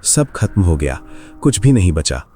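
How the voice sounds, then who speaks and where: Man playing a low-voiced, dejected poor father. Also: very animated, moderate pace, warm tone, husky, heavily acted. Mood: despairing.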